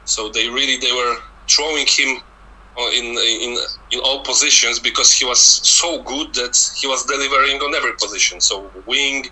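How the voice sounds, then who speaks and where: A man with a strong, very bright and forceful voice and a Polish accent talks football as a guest scout. His speech is casual and halting, with words repeated as he searches for the next phrase. His pitch sits in an ordinary male range. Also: breathy edge, rough recording. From Poland.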